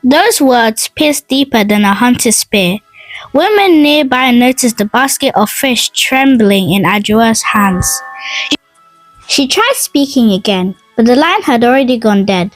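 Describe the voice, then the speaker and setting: A woman narrating a scripted folk tale in a dramatic, expressive and measured storytelling manner. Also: a little high, low-quality recording. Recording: dry voice over music. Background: music.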